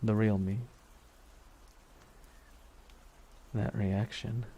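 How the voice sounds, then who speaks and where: A man playing a brooding, wistful villain in an intimate ASMR roleplay, speaking slowly and deliberately with long pauses and quiet menace. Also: on the low side, flat delivery, dull audio, lightly acted. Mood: musing, quietly amused. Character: villain reflecting beside his dying superhero nemesis, wistful, menacing. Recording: noticeable rain noise throughout. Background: rain falling on a surface.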